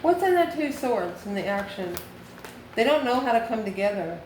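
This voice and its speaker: A woman's voice: fairly high pitch, very animated, moderate pace, a little mellow. Echoey noisy recording, lady doing a tarot reading, folksy and familiar w the viewer, repeats stuff like an incantation. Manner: folksy, familiar, addresses listener as honey and baby. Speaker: tarot reader, channel host. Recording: echoey room with clear background noise.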